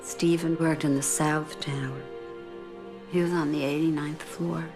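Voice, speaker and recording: Low-voiced older woman, slow and measured. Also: fairly even intonation, music in the background. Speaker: bereaved mother giving testimony. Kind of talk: reflective documentary interview.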